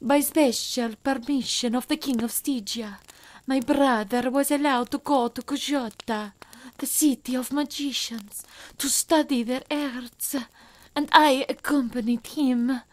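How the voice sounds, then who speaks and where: A man reading an audiobook voices a frightened young noblewoman captive, pleading in a hushed, breathless falsetto. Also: fairly even intonation, measured pace.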